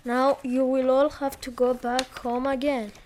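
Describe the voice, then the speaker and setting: A woman voicing a mischievous young shepherd boy who shouts for help. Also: high-pitched, level tone, unhurried. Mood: playful. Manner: calls out loudly, playful then pleading.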